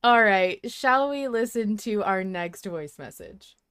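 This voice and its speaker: A woman's voice: mid-range voice, lively intonation, slow, a bit bright, clear, resonant tone, no room sound, studio quality. Woman podcast host chatting casually, middle-range voice, kinda analytical, leans on her points. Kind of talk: casual discussion on a fan podcast.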